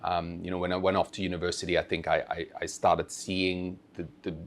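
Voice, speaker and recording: Guest founder, male, 30s: very low, gravelly voice, hesitant and filler-heavy delivery. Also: flat delivery, a little fast. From Switzerland-born, raised in Asia. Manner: hesitant, many fillers and restarts. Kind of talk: conversational interview.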